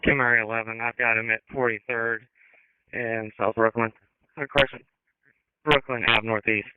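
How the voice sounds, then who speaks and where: A man's voice: medium pitch, little pitch movement, normal speed, clean tone, no echo. A man on two-way police radio, relaying information in a matter-of-fact way. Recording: narrow-band police scanner radio audio.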